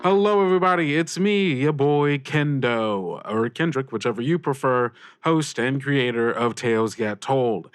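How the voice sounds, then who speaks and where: A man's voice: mid-range voice, moderate pace, hardly any pauses, clear, resonant tone, no room sound. A man hosting a podcast, giving casual announcements to listeners in an upbeat, informal, rambling way. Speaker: podcast host and creator.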